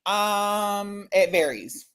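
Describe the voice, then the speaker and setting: A woman's voice: mid-range voice, animated, drawn-out words, fairly bright tone, clean tone. A woman in her forties hosting a casual podcast chat, brash and teasing. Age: 40s. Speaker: podcast host.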